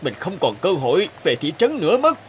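A man's voice: fairly high pitch, wildly swooping pitch, a little fast, fairly bright tone, slight background noise. A man playing an anxious, grateful stranded traveller in a cartoon, speaking plaintively and hesitantly. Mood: worried, despairing. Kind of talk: cartoon voice acting.

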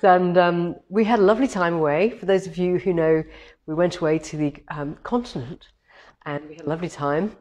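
A woman with a head cold, slightly hoarse, hosting a rambling, cheerful live-stream chat from a large quilting showroom. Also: lowish voice, fairly quick, a little mellow. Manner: chatty and cheerful, rambling with many ums. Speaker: quilting shop owner hosting a live demo. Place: large quilting showroom with longarm machines, indoors. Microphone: camera on a tripod a few metres away.

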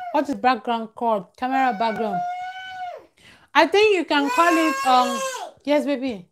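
A woman's voice: high-pitched, slight background noise. A young woman chatting casually on a live stream, emphatic and repeating phrases for stress. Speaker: vlogger, live-stream host. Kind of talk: casual live-stream chat, advice to viewers. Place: small room at home, close to a plain wall. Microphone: clip-on lavalier at the collar.

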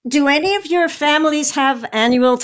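A woman's voice: sits high, moderate pace. A woman radio host interviewing guests and reading announcements in a public radio style.

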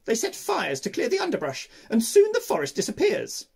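A storyteller voicing a toucan with a loud, squawking delivery. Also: mid-range voice, wildly swooping pitch, fairly quick, vocal fry, breathy edge, voice pushed up, full character voice. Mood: alarmed.

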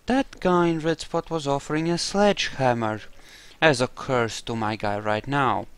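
Clear, dry-miked man commentating a game. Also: medium pitch, normal speed, no echo. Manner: musing, thinking aloud. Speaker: gamer playing a role-play playthrough. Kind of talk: casual gameplay commentary.

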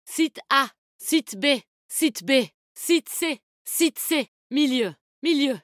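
A woman's voice: high-pitched, animated, slow, fairly bright tone, no echo, studio quality, exaggerated voice. An actress playing a tough, confident, protective woman fighter in a video game, with a bold, cheeky, commanding manner. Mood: brisk, alert. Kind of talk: video game character voice lines, combat callouts.

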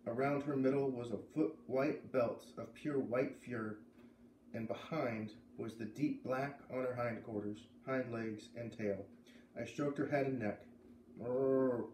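A man's voice: mid-range voice, fairly even intonation, measured pace, darkish tone, far from the mic. A man in his thirties narrates a children's novel from a living room. The camera mic sits a little way off, so the room echoes around him, and there is faint noise underneath. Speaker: storyteller reading a children's novel aloud. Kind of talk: read-aloud narration with casual asides. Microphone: camera a few metres away.

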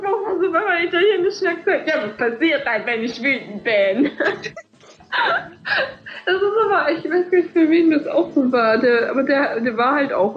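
A woman's voice: high voice, dull audio. A young woman co-hosting a let's play, teasing and rambling through casual commentary.

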